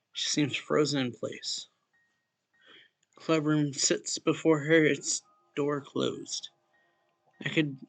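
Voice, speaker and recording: A man with a noticeable vocal fry and a mid-range voice reads story text aloud for a let's play. His delivery is halting, with stumbles and repeated phrases, and music plays faintly behind him. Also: medium pitch, fairly bright tone. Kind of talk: reading a visual novel aloud.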